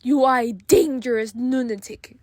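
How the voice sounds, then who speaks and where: A woman's voice: on the high side, moderate pace, background noise, natural voice. A woman playing a stern, haughty, disbelieving college registrar in a radio drama. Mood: indignant. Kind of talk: amateur radio drama acting.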